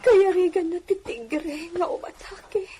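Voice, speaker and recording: Woman acting a very high-pitched plaintive wife. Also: unhurried, mellow, slight background noise. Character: long-suffering, aggrieved village wife lamenting her marriage. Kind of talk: melodramatic Ilocano radio drama acting.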